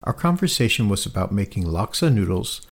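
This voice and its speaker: Man, podcast host, husky voice, earnest questions, slightly halting. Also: lowish voice, big pitch swings. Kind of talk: podcast interview.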